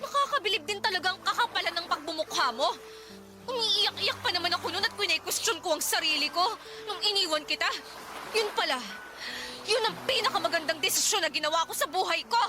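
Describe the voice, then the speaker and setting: A defiant young ex-girlfriend in a radio melodrama with a very high voice. She scolds indignantly and stands firm, utterly fed up, while loud music plays underneath. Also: lively intonation, fast. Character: fed-up, defiant young woman rejecting her ex-boyfriend. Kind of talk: melodramatic radio drama acting.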